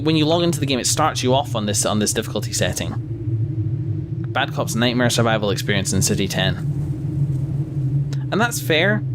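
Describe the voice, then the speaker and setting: Hoarse man giving a game critique. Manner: hesitant, restarts phrases, sighs. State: losing his voice. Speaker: let's play gamer. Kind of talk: casual critical game review. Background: traffic rumble and sirens outside.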